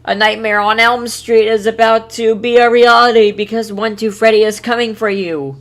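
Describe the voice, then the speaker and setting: A woman giving a casual live reaction, excitable and exclaiming, sometimes reading haltingly. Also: on the high side. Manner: excitable, exclaiming, reads on-screen text aloud haltingly. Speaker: streamer reacting to a video.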